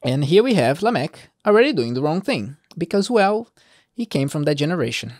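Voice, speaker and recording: A man's voice: fairly high pitch, big pitch swings, fairly quick, darkish tone, dry recording, studio quality. A man hosting an informal Bible reading, commenting off the cuff in a conversational, digressing way and putting rhetorical questions to the listener.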